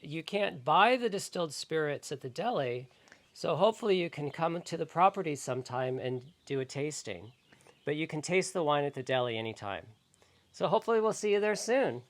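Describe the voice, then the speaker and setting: A man's voice: on the high side, animated, a little fast. A man co-owning a winery, chatting casually in an upbeat, lightly teasing way. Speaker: winery co-owner. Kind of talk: casual promo chat.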